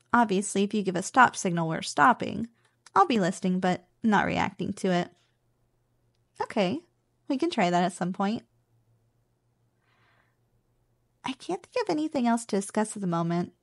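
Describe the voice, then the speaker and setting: Woman doing a teasing slime girl roommate for asmr rp, kinda high voice, coaxing and possessive, lots of reverb. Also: gravelly, full character voice. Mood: teasing, casual. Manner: teasing, coaxing, mock-dismissive. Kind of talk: ASMR roleplay. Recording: echoey reverberant sound, loud music behind. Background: loud background music.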